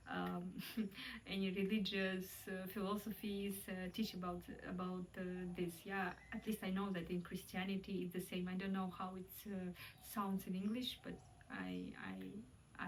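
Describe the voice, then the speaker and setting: A woman's voice: medium pitch, normal speed, far from the mic, slight background noise, poor audio. A young Kazakh woman with a Kazakh accent, talking casually and reflectively, hesitating often with fillers. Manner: hesitant, many fillers, light laughs. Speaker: vlogger.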